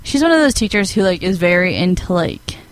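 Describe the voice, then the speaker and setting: A woman's voice: fairly low pitch, sing-song, brisk, no room sound. A teenage girl co-hosting a student radio show, chatting with plenty of "like" and "um" into a studio microphone. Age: teens. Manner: chatty, lots of "like" and "um". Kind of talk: casual chat.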